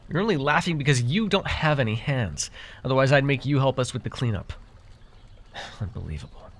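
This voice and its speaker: A man's voice: mid-range voice, expressive, brisk. A man playing an easily flustered, teasing but caring fae forest guide in a fantasy roleplay, with exasperated banter. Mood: flustered, bossy. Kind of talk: immersive fantasy roleplay ASMR acting.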